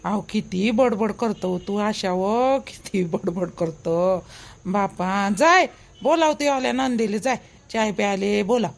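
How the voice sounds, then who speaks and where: A woman's voice: mid-range voice, animated, takes it slowly, vocal fry. A woman playing a matter-of-fact, placating village woman in a cartoon, giving advice with a Varhadi Marathi accent from Vidarbha. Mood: chiding. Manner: advising, dismissive of fuss. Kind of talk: amateur cartoon dialogue in village dialect.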